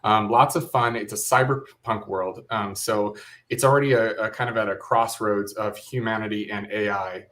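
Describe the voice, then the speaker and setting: A man's voice: fairly low pitch, fairly even intonation, moderate pace, a bit bright. A man talking casually as an interview guest, a director explaining a creative project.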